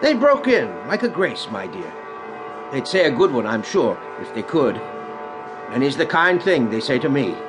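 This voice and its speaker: A man acting a kindly old porter in a radio play. His pitch swings freely as he mutters and exclaims in folksy working-class phrases. Music plays behind him. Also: very animated, normal speed, natural voice, low-quality recording. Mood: fond, cheerful. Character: humble, kindly, spare old porter, doting father.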